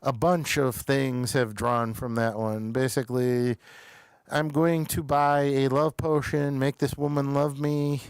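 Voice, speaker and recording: Man, show host, slow halting delivery, casual review chat, clear voice. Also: medium pitch, steady tone, no echo.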